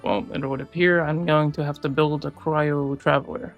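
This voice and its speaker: A woman's voice: deep voice, steady tone, normal speed, dull audio. A woman giving casual gaming commentary, musing aloud in short reactions as she plays. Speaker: gaming streamer. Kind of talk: casual game playthrough commentary. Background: game music.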